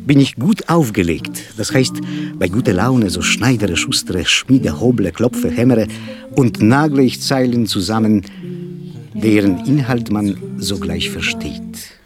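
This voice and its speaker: A man performing a whimsical poet in a theatrical literary recitation, with playful, measured delivery. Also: on the low side, lively intonation, over music. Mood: whimsical, musing.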